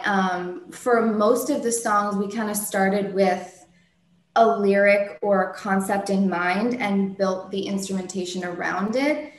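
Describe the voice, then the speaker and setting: A woman with a soft soprano voice answers interview questions slowly and thoughtfully, with frequent ums and restarts. Her speech sits at an ordinary pitch for a woman. The room gives it a noticeable echo. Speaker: singer-songwriter guest.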